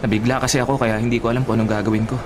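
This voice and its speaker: Fast-talking, earnest young Filipino man, played by an actor. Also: medium pitch, level tone, crowd noise, natural voice. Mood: awkward, polite. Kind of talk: Filipino radio drama acting.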